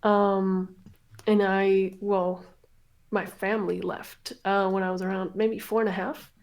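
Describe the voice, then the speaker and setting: A woman's voice: mid-range voice, flat delivery, unhurried, a little mellow. A woman from Canada, born in Colombia, chatting casually as an interview guest. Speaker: interview guest, streamer and singer. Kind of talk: casual chat.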